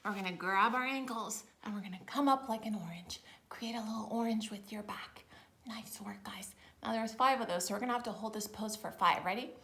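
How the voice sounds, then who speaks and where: A woman teaching yoga storytime to young children, encouraging and playful, with expressive storytelling and hushed, breathy moments. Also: mid-range voice, fairly quick. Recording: roomy echo with faint noise.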